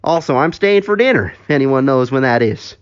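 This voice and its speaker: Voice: mid-range voice, lively intonation, brisk, clean tone, muffled recording, pitched above the performer's own voice, natural voice. A narrator voicing a breezy, unbothered sheriff in an offhand, dismissive way for a comic story.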